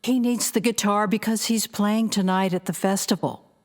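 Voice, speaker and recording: An actress playing a brisk, diplomatic rock band manager in an audio drama. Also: female voice, mid-range voice, close to an everyday voice. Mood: polite, urgent. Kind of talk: naturalistic audio drama acting.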